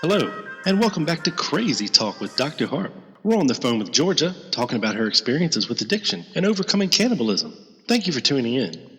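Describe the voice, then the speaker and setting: Guy doing a glib talk radio doctor host, animated and sharp, comedy radio play, echoey reverb. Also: a little high, a little fast, soft background music, full character voice. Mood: brisk, admonishing. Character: brisk, flippant male doctor hosting late-night radio call-in.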